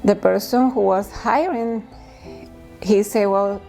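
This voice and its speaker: Interviewee: woman, Venezuelan Spanish accent, non-native halting phrasing, gravelly fry, faint music underneath. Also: mid-range voice, mellow, poor audio. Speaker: documentary interviewee. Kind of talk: personal account to camera.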